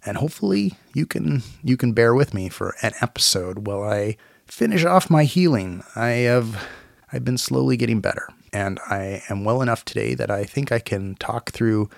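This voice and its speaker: A man in his forties talks through a cold, his low voice gravelly, nasal and hoarse. He rambles in a self-deprecating way on his solo podcast. The recording is dry and close. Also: no echo. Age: 40s. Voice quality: raspy, nasal. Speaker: podcast host. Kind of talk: casual solo podcast monologue. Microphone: home recording mic.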